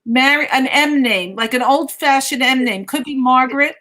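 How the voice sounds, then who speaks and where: A woman's voice: sits high, normal speed, sharp tone. A woman giving a conversational live mediumship reading, insistently repeating phrases and relaying messages as quotes.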